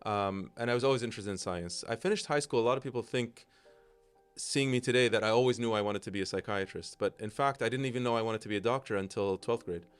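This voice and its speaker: A middle-aged American man with a smooth, resonant, lowish voice, talking quickly and explaining things in full, tidy sentences. Faint music sits under him. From United States. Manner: articulate, explanatory, quick-flowing. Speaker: psychiatrist guest. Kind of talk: talk show interview.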